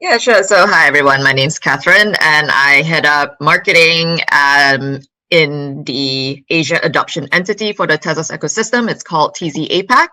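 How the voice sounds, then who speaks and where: A woman guest on an online panel talks in a bright, forward voice. She hesitates often and fills the gaps with ums while she looks for the right words. The sound is dry and close. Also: deep voice, normal speed, no echo. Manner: hesitant, many fillers and searching for words. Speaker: panel guest, marketing head.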